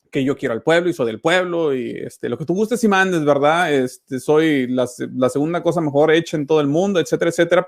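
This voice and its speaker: Male host, fairly high voice, plain-spoken, cuts in casually. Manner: conversational, interjecting, plain-spoken. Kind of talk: interview commentary.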